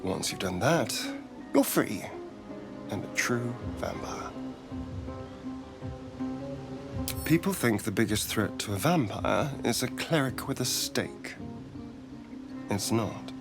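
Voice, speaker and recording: Theatrical, sardonic vampire with swooping intonation. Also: male voice, medium pitch, expressive, unhurried, loud music behind the voice. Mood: conspiratorial. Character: sly theatrical male vampire spawn, witty and haunted. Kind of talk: dramatic video game dialogue acting.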